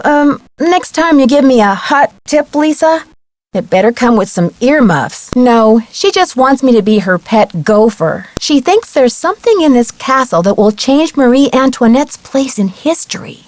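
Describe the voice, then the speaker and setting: A woman playing a curious, plucky young amateur detective in an adventure game, chirpy and clearly spoken. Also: on the high side, darkish tone, no echo, lightly acted. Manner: inquisitive, chirpy, clearly articulated. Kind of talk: scripted adventure game dialogue.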